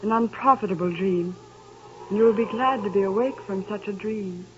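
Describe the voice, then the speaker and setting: An actress playing a sorrowful young woman who breaks off her engagement, in a 1930s radio drama, with a gentle, resigned manner. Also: medium pitch, moderate pace, natural voice. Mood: tender, resigned. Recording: muffled noisy 1930s radio recording.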